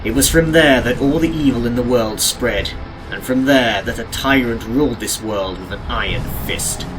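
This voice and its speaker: A man reading scripted audiobook narration of an adventure story in a deliberate, dramatic, descriptive way. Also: mid-range voice, low-quality recording. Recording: music behind the narration. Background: game and cartoon soundtrack music.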